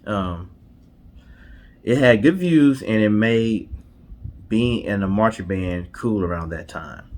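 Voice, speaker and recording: A man's voice: fairly low pitch, expressive, slow pace, a little mellow. A man co-hosting a storytime show in casual couple chat, colloquial, often repeating himself and saying "you know".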